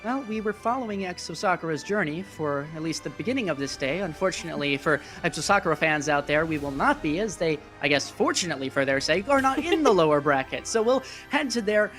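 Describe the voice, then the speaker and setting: The voice is an esports caster calling a live match in a chatty, self-deprecating way. It sits in a middle pitch, with music clearly playing behind it on the broadcast. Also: lively intonation, fast talker, hardly any pauses, fairly bright tone, rough recording. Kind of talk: live esports match commentary with casual asides.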